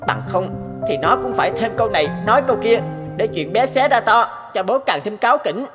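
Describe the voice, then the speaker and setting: A woman's voice: mid-range voice, lively intonation, a little fast, breathy edge, big-room sound, faint music behind. A woman voicing a petulant, resentful schoolboy in a cartoon, with a boyish manner. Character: sulky, resentful schoolboy older brother. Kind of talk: Vietnamese animated moral tale dubbing.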